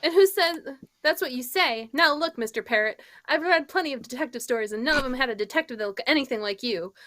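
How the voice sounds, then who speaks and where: A woman's voice: high voice, animated, fast talker, a bit bright, no room sound. A woman acting a sassy, skeptical young woman with a brash, challenging manner in an old-time radio mystery. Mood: defiant.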